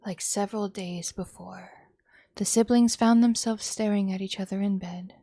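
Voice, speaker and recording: A woman reading a visual novel aloud as dramatic storytelling, in a hushed tone. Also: medium pitch, steady tone, moderate pace, no echo, studio quality. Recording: music behind the voice. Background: background music.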